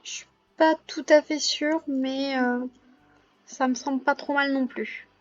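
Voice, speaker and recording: A young woman with a cold and a hoarse voice, chatting casually over her gameplay with lots of pauses and self-corrections. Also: high-pitched, fairly even intonation, muffled recording. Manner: hesitant, many filler pauses and self-corrections. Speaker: gaming vlogger.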